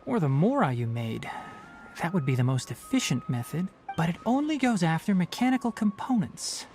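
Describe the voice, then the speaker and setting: Blunt, animated young forest scholar. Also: male voice, fairly high pitch, moderate pace, soft background music. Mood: analytical, brisk. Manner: precise, scholarly, matter-of-fact. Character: young male forest watcher, analytical, dry and blunt. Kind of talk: video game voice acting.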